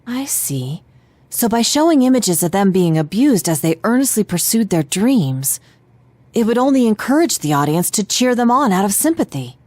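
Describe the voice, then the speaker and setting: An earnest, analytical teenage detective in a video game. The pitch is medium with lively movement, and the diction is polite and exact. It is recorded very dry. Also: female voice, moderate pace, dry recording. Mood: thoughtful, serious. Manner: polite, precise, formal diction.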